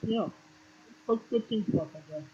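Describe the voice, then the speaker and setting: A man's voice: high-pitched, moderate pace, warm tone, slightly rough, highs cut off. A man hosting a casual wrestling podcast, matter-of-fact, reeling off standings with offhand swearing. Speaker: podcast host.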